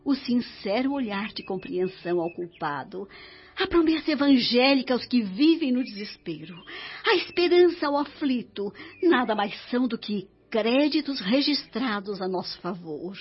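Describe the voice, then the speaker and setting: High-voiced, devout spirit mother. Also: lively intonation, normal speed, breathy edge. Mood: solemn exhortation. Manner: solemn, tender, exhorting. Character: loving, devout spirit mother, gently preaching to her son. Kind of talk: radio drama acting. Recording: muffled radio drama with music behind.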